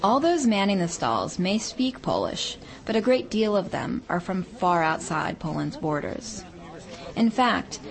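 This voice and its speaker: A woman radio reporter reading a scripted feature report in a measured, descriptive way. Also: on the low side. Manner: measured, descriptive storytelling delivery.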